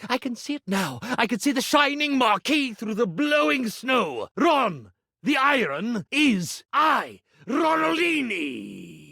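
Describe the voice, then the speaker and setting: A man voicing a pompous, insecure stage magician for a video game, with grandiose, florid delivery and dramatic pauses. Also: extremely high pitch, very animated, vocal fry, pushed and bright, slightly rough, no room sound, studio quality, full character voice. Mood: exultant. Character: pompous theatrical iron-turned-stage-magician, melodramatic and insecure. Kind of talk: video game character acting.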